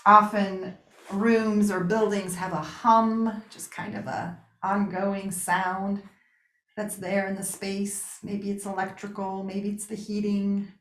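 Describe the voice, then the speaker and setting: A woman's voice: medium pitch, flat delivery, fairly bright tone. Woman guiding meditation in an echoey room. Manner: unhurried pauses, soothing reassurance. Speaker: yoga nidra meditation guide. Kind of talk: guided meditation, gentle spoken instruction. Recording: roomy, echoing sound.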